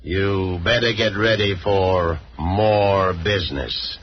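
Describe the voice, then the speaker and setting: A muffled old radio serial with faint noise carries a villainous outlaw boss. His voice sits low, cold and commanding, full of menace and authority. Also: slow pace. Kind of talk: 1940s Western radio serial acting.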